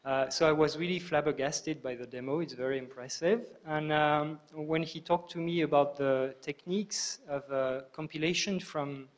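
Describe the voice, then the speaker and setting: Male event host, fairly high-pitched, informal and hesitant introduction of a guest.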